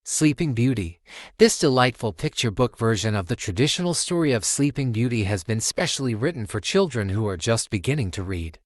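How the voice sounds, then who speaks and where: Man reading a children's story, lively intonation. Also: medium pitch, fairly quick, no room sound, clean studio sound. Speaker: storytime channel host reading children's books. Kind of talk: read-aloud children's story narration.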